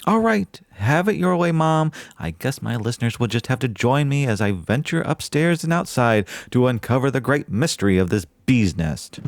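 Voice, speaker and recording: A man's voice: medium pitch, very animated, moderate pace, gravelly, few pauses. A man playing a verbose, genteel small-town podcast host and dutiful son in an audio drama, speaking in folksy, flowery phrases. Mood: exasperated, placating. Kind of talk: audio drama, mock radio-show narration.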